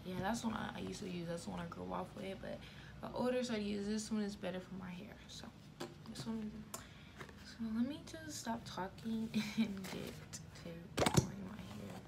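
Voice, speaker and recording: A young woman in her twenties with a raspy voice, talking loosely to the camera as she does her hair and often stopping to correct herself. She records on a phone propped up in her room. Loud background noise runs under her voice. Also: mid-range voice, moderate pace. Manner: rambling, self-correcting, chatty. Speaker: beauty vlogger. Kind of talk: casual get-ready-with-me chat. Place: bedroom or apartment room, indoors. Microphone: phone camera propped at arm's length. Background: traffic noise from outside.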